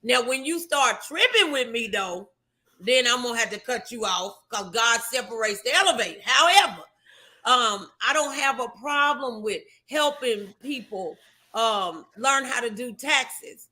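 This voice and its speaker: A woman's voice: on the high side, expressive, a little slow, sharp tone. A middle-aged American woman hosting a casual motivational talk show, speaking in an emphatic, encouraging way.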